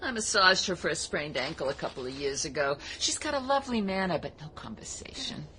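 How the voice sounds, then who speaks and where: An actress playing a gossipy, knowing society manicurist with an American accent and a folksy, emphatic, boastful manner in a radio drama. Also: female voice, deep voice, expressive, a little fast, pushed and bright, slight background noise, rough recording. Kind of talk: radio drama acting and storytelling.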